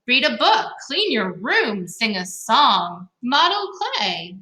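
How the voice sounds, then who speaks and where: Woman playing a reassuring, animated storybook mother. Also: fairly high pitch, slow, full character voice. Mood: brisk, encouraging. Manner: reassuring, listing suggestions. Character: soothing, practical mother of a young boy. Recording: roomy, echoing sound.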